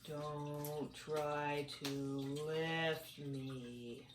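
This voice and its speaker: A woman voicing a deadpan storybook snail who drags out words haltingly. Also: deep voice, voice pushed down. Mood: slow, flat. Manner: drawn-out, halting. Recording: echoey room, distant mic, background noise.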